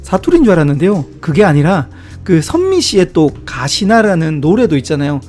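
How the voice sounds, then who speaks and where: Brief: man, middle-aged, warm mellow tone, relaxed chatty explainer, soft music bed underneath. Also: fairly high pitch, brisk. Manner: chatty, reflective asides and small self-deprecating jokes. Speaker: book-review video host. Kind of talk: casual explanatory talk about word origins.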